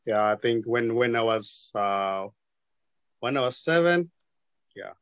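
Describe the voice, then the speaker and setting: A man's voice: medium pitch, expressive, fairly bright tone, no echo, highs cut off. A man with a South African accent, a native Zulu speaker, talking hesitantly in a casual group chat on a video call. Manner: hesitant, halting.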